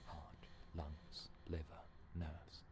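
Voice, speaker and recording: An obsessive voice inside the head, performed by a man, chanting in a low, breathy whisper. It repeats in a hushed game-horror mantra, slow and unrelenting. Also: little pitch movement, exaggerated voice.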